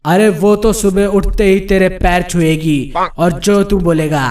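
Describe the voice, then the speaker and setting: A man's voice: sits high, fairly even intonation, measured pace. A man voicing a cocky star batsman in a comic cartoon, coaxing and swaggering as he talks. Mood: encouraging. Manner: swaggering, persuasive. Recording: distant voice under loud music.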